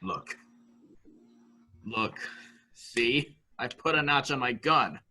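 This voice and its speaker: A man's voice: medium pitch, lively intonation, slow pace, pushed and bright, slight background noise, exaggerated voice. A man playing a childlike, dangerously unhinged killer in a murder mystery drama, boasting in a sing-song way with repeated phrases. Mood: menacing, defiant. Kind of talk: amateur murder mystery audio drama acting.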